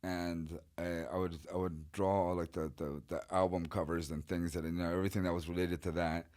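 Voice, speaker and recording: A middle-aged man from Chile, a guest artist telling his life story in a relaxed, rambling way with many fillers. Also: sits low, brisk. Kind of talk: casual interview storytelling.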